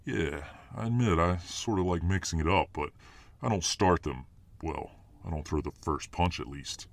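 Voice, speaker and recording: Man acting a very deep-voiced cocky alpha fireman. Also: moderate pace, creaky, no room sound. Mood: cocky, casual. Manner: boastful, cocky swagger. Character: dominant muscular alpha fireman boyfriend, boastful, protective, hot-tempered. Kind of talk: ASMR roleplay audio drama.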